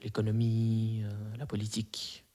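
Young man, flat mellow voice, hesitant. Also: on the low side, measured pace. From Arabic-speaking background, raised in Belgium. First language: Arabic. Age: 20s. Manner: hesitant, many fillers and restarts. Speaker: interview guest. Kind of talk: conversational interview answers.

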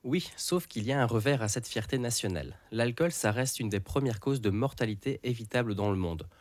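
A man co-presenting a health report, reading a script in a clear, measured delivery. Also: mid-range voice, animated, darkish tone, no echo. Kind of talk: scripted narration.

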